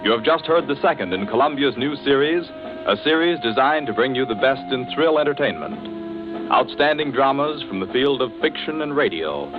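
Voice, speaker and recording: A man announcing a radio program in a formal, measured manner. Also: medium pitch, expressive. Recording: dull old radio recording over loud music.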